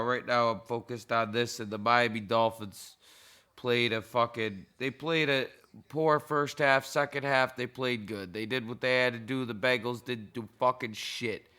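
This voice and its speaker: A man in a hoarse, cracking voice, a little drunk, giving a profane, heated, rambling reaction to a football game. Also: medium pitch, sharp tone. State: hoarse, intoxicated. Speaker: sports fan vlogger. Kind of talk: casual game reaction rant.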